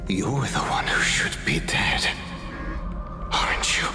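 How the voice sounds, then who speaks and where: Haunting accuser, low pitch, very slow, breathy, ominous, game drama, loud music. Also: male voice, very animated, full character voice. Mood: menacing. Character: haunting, accusing male voice urging surrender to death. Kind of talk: dramatic video game acting.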